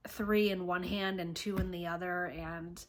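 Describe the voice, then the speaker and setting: A woman vlogger telling a personal story to camera in a halting way, often pausing and clearing her throat. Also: lowish voice, steady tone. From born in Russia, raised in the United States from age three.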